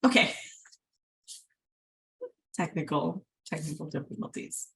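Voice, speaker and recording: A woman hosting an event in a casual, chatty way, speaking haltingly. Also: sits low, a bit bright, slightly rough. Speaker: event host.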